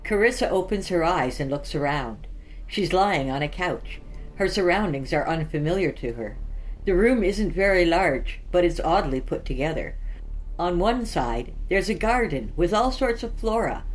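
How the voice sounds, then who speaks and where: Very low-voiced woman narrating fiction. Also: animated, normal speed, low-quality recording. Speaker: podcast host and story narrator. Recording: faint music behind. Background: music.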